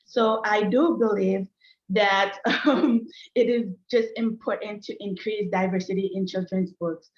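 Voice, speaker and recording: A woman who grew up speaking French introduces herself informally on a video call. She is earnest and a little hesitant, with a medium-pitched voice. The sound is roomy and somewhat dull. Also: a little mellow. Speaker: meeting guest, children's book author. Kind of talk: informal self-introduction and pitch at an online meeting.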